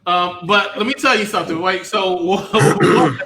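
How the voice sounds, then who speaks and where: A man's voice: sits high, unhurried, a loud crowd. A man hosting a panel with casual banter, moderating the other speakers. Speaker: host. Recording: clear background noise.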